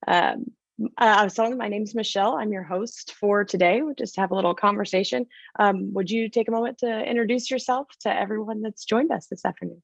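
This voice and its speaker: A woman's voice: mid-range voice, a little fast, creaky, no echo. A woman hosts an interview over a video call, sounding upbeat and encouraging as she asks her questions. Her voice is dry and close, with the dull, lo-fi tone of call audio. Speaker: host, interviewer.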